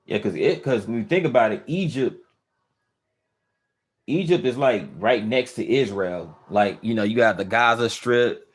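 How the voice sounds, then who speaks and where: A man's voice: medium pitch, measured pace, breathy edge. A man hosting a casual livestream, thinking aloud with many restarts and fillers as he answers viewer comments. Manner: easygoing, questioning. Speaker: livestream host. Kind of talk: casual live chat, reading viewer comments.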